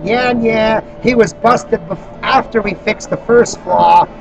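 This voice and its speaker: A man hosting an informal on-the-spot interview, telling stories in an animated way and acting out quoted dialogue. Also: high-pitched, slow pace, gravelly, rough recording. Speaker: interviewer and activist introducing a guest. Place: busy expo venue. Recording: music behind the voice. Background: music.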